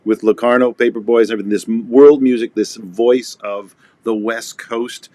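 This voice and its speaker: A man hosting a casual chat as a concert presenter, encouraging and quick to agree with his guest. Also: medium pitch, steady tone, a little mellow, no room sound. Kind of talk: casual conversational interview.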